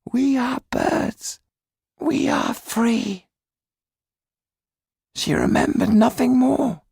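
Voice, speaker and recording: Old romany mystic for an audiobook, super husky n creaky, slow solemn hushed storyteller. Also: male voice, very high-pitched, no room sound, studio quality, pitched above the performer's own voice, full character voice. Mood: grave sorrow. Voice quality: whispery, gravelly, husky. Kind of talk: audiobook character reading.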